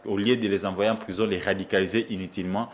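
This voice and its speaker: A man's voice: fairly low pitch, steady tone, quick pace, slight background noise. Emphatic Congolese man debating. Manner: emphatic, argumentative, repeats phrases. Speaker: journalist, debate guest. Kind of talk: animated political debate.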